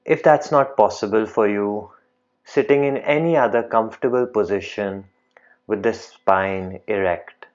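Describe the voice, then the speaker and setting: A man leading a guided meditation in a calm, gentle, unhurried manner, leaving long pauses between phrases. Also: on the low side, dry recording, dull audio.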